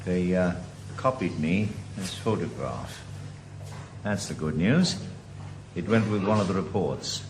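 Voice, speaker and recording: A stubborn retired detective with a dry, sarcastic edge, from a 1980s radio drama. His voice sits low but swings a lot in pitch. It comes through a noisy location recording. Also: expressive, a little slow, long pauses. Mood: grim. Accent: Welsh. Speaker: lead actor.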